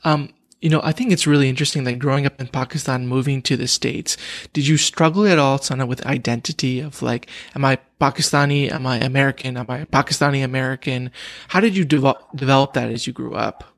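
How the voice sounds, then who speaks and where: A man's voice: medium pitch, quick pace, no echo, studio quality. A man hosting an interview podcast, introducing his guest and asking questions.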